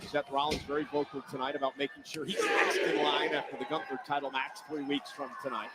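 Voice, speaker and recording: A man's voice: medium pitch, little pitch movement, brisk. A man calling a wrestling match play-by-play in a polished broadcaster's voice, played from a TV broadcast. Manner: smooth broadcaster delivery, hyping the match. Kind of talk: live sports commentary. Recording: broadcast feed played under a stream with noise.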